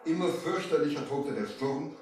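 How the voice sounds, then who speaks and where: A man's voice: fairly high pitch, animated, moderate pace, over loud music, lightly acted. A man playing a young merchant who narrates his sea adventure theatrically in a 1970s children's radio play. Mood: narrating, suspenseful. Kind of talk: dramatic scripted storytelling in 1970s radio play. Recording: very echoey, slightly distant vinyl transfer.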